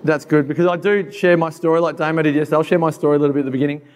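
A hoarse man in his thirties giving a fast, animated motivational talk to an audience. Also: on the high side. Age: 30s. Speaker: keynote speaker.

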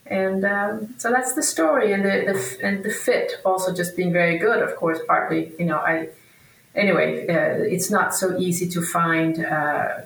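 Echoey room, distant mic, woman, fifties, native Danish speaker from Denmark, conversational interview answers, halting delivery. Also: deep voice, vocal fry, a bit bright. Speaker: podcast guest, researcher and academic. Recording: roomy echo, distant from microphone.

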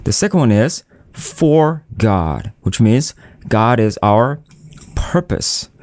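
A man preaching a sermon, speaking deliberately with repeated phrases and frequent restarts. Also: medium pitch, expressive, a little mellow, no echo, clean studio sound. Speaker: pastor.

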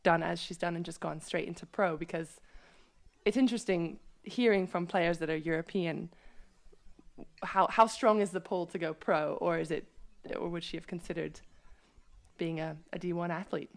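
Woman, low gravelly voice, co-host, video call chat. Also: fairly even intonation, normal speed. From USA. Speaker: podcast co-host. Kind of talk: casual chat. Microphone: video call mic.

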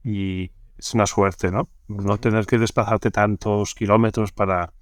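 A man's voice: lowish voice, level tone, a little slow, no room sound, clean studio sound. A man from Barcelona with a Catalan accent, chatting casually as an interview guest, often ending phrases with checking tags. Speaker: podcast guest.

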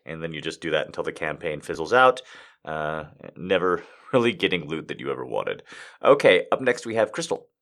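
A man's voice: extremely low pitch, very animated, a little fast, fairly bright tone. A man, a voice actor, answering viewer questions in a rambling, self-deprecating way. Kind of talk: casual chat.

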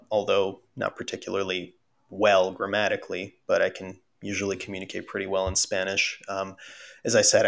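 Gravelly, bright-toned man talking casually. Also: low voice. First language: Russian. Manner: thoughtful, many fillers. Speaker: podcast co-host. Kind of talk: casual conversation.

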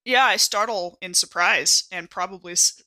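A woman's voice: medium pitch, normal speed, sharp tone. A woman chatting casually as a player in a tabletop role-playing game.

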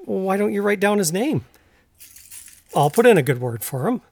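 A man playing a gruff but decent middle-aged farmer in an audio drama, firm, plain-spoken and folksy. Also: high voice, big pitch swings, normal speed, a little mellow, heavily acted. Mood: kindly, earnest. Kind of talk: naturalistic audio drama acting.

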